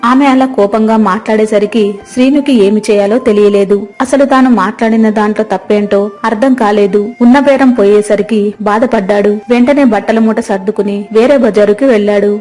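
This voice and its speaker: A woman's voice: medium pitch, brisk, hardly any pauses, mellow, faint music behind. A woman narrator reading a scripted moral story.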